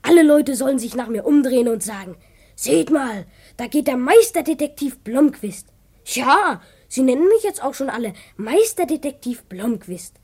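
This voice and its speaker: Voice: high voice, lively intonation, moderate pace, a little mellow, slightly rough, heavily acted. A performer playing a boastful, earnest twelve-year-old boy who dreams of detective work, self-important and emphatic, in a children's radio play. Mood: boastful, eager.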